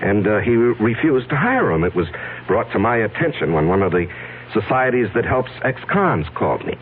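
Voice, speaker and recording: A man's voice: lowish voice, big pitch swings, a little fast. An actor playing a nervous, wealthy businessman who stammers and sounds defensive, in a 1970s radio drama. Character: nervous wealthy businessman man hiding a secret. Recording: tinny lo-fi old radio broadcast with faint hiss.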